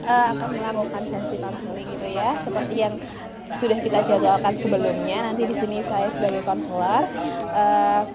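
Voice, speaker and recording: A young woman speaking as a student counselor, politely and reassuringly asking questions in a counseling session. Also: medium pitch. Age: young adult. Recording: busy public hall, loud crowd chatter.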